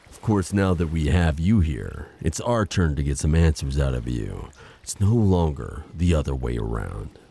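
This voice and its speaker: An extremely deep, husky monster royal acted by a man. He is solemn and vengeful, with dark, formal delivery suited to an audio drama. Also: expressive, normal speed, hardly any pauses, exaggerated voice. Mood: stern. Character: grim, vengeful monster royal with a lost kingdom. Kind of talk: scripted audio drama acting.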